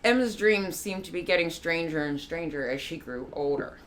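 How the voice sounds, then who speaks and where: A woman with a low voice narrates a short story for a live reading. Her delivery is measured and careful, with the odd slip that she corrects. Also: animated, a little hiss or hum. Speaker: guest narrator, musician and artist.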